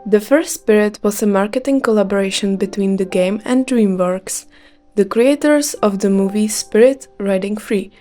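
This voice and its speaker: A woman's voice: mid-range voice, normal speed, warm tone, faint music behind. A young Czech woman with a light Czech accent, reading a scripted gaming video with care. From Czech Republic. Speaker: gaming YouTuber.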